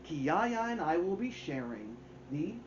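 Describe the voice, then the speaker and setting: A middle-aged man reading aloud to children as a story time host, with theatrical delivery and deliberate pauses. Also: on the high side, big pitch swings, highs cut off, faint music behind. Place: indoors, small home room.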